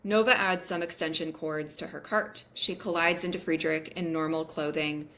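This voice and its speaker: A woman with a slightly low voice narrates a screenplay. She reads out scene headings and action as the stage directions reader at a table read. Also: fairly even intonation, moderate pace, fairly bright tone.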